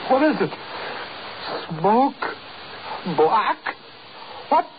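An actor with an American accent playing a frightened, hysterical, folksy sidekick in a 1930s radio horror drama. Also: male voice, very high-pitched, sing-song, drawn-out words, heavily acted. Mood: horrified, dazed. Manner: fearful, plain folksy speech. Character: frightened, hysterical male sidekick named Sam, uneducated and pleading. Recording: very noisy, muffled 1930s radio recording.

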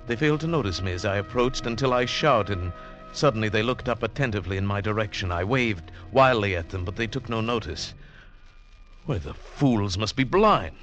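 An actor playing a mountaineer who recounts his ordeal in dramatic, deliberate phrases, in the style of mid-century radio drama. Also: on the low side, lightly acted. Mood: puzzled, amazed. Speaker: narrator and lead actor. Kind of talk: 1940s-50s radio drama narration. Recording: vintage radio recording with music behind.

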